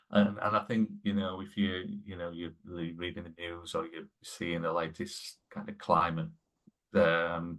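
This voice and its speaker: Low, gravelly, husky-voiced man. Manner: rambling, many restarts and fillers. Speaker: guest, sustainability lead. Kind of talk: conversational podcast interview.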